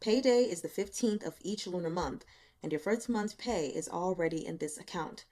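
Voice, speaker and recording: A tired male office boss from an audiobook, played by a woman narrator. He talks in a casual, offhand way, brisk and blunt, with a wry teasing edge. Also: lowish voice, warm tone, close to an everyday voice. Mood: offhand, businesslike.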